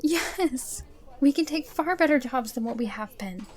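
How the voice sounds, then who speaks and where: A woman acting a reasonable, persuasive adventurer in a fantasy audio drama, measured and deliberate. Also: high voice, animated.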